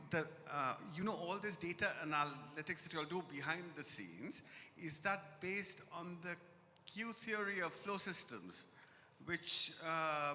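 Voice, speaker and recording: A man's voice: a little high, bright, forceful tone, a little hiss or hum. A man in the audience at a conference asking precise, probing questions with a slightly apologetic tone, in a large hall. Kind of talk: question from the floor.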